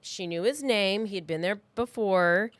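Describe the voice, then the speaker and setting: A woman's voice: mid-range voice, moderate pace, no echo. A woman in her thirties telling a story casually as a podcast co-host, informal and full of asides. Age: 30s. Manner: informal, loosely retelling with asides and acted-out quotes. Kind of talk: casual true-crime storytelling chat.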